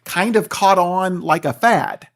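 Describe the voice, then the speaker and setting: A man's voice: high-pitched, slow pace, creaky, a bit bright. An American man speaking as an expert podcast guest, explaining conversationally and animatedly, often checking in with the listener. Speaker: guest expert, philosophy professor and author.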